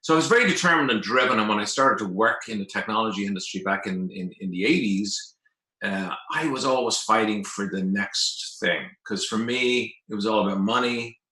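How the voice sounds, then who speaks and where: Irish guy in his 50s, deep but bright voice, chatting about his own life, echoey room sound. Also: brisk. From Ireland. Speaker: interview guest, coach. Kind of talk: conversational personal storytelling. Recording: roomy, echoing sound.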